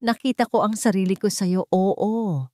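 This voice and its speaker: A woman voicing a devoted, understanding sweetheart in a romantic story, speaking tenderly and deliberately. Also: medium pitch, mellow, no echo, clean studio sound, natural voice. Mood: tender, sincere. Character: devoted, understanding sweetheart confessing deep love.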